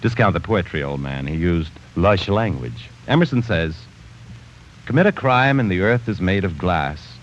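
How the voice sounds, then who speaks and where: A haughty bookish diarist. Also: male voice, low-pitched, lively intonation, moderate pace, a little hiss or hum. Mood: lecturing. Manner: haughty, condescending. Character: arrogant bookish male diarist scorning sentiment. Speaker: narrator reading his journal. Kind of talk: 1940s radio acting. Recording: muffled 1940s radio broadcast.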